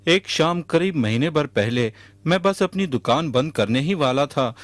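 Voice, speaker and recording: Humble merchant, slightly high voice. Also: male voice, lively intonation, unhurried, no room sound. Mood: earnest. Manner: earnest, respectful. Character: honest, humble male butter merchant, earnest petitioner. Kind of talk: Hindi cartoon voice acting. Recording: music behind the voice.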